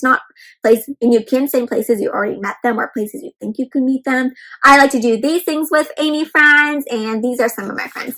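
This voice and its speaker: A woman hosting an interactive picture book read-aloud for young children, talking in an animated way and often putting questions to her listeners as friends. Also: sits high, poor audio.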